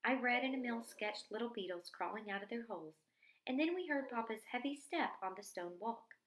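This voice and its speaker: A woman reading a diary-style story aloud in an expressive narrating style, acting out the dialogue. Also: fairly high pitch, a little fast. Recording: echoey room.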